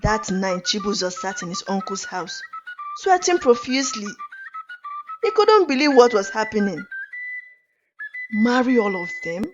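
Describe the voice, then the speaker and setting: A woman telling a folktale in an expressive, deliberate, dramatic narration. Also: a little high. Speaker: storyteller. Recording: dull lo-fi audio under music. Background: clear flute music.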